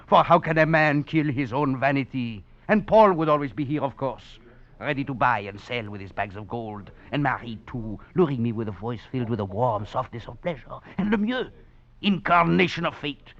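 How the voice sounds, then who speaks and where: An actor playing a proud, half-crazed fugitive master thief, narrating theatrically in a 1940s radio drama. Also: male voice, mid-range voice, a bit bright, lightly acted. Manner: theatrical, grandiose, brooding. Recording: dull vintage 1940s radio with music behind.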